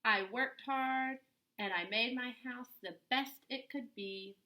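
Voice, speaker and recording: Woman acting young boy pig, high voice, earnest, cautious, hardworking, storybook character. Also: slow, pushed and bright. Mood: proud.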